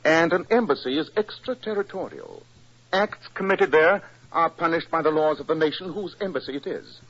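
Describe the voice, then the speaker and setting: An actor playing an incisive, proud English detective with a British accent, precise and emphatic, in 1940s radio style. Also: male voice, fairly high pitch, big pitch swings, moderate pace, fairly bright tone, full character voice. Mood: triumphant, accusing. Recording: dull 1940s radio recording.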